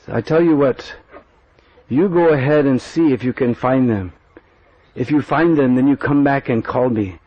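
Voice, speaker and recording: A man's voice: mid-range voice, normal speed, natural voice. A man voicing a jealous young husband who grumbles and plots to spy. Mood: brooding. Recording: dull dry recording.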